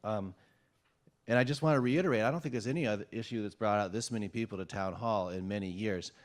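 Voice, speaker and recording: A man's voice: lowish voice, quick pace. A man gives earnest, firm public comment at a town hall meeting, with a few fillers as he goes. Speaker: resident speaking at public comment.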